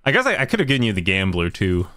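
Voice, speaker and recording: A low-pitched man whose voice bounces up and down as he jokes and swears through a card game. He talks casually into a desk mic at home, with a faint hiss and mouse clicks behind. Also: rapid-fire. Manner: joking, animated, swearing. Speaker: streamer. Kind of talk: casual gaming chat.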